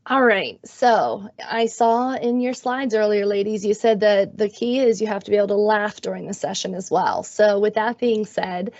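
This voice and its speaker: A middle-aged woman with a raspy, hoarse voice from being sick, presenting in a friendly, self-deprecating way on a video call. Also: mid-range voice, moderate pace, hardly any pauses, darkish tone, dry recording. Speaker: guest presenter, magnet schools coordinator. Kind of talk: webinar presentation. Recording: dull, dry video-call audio.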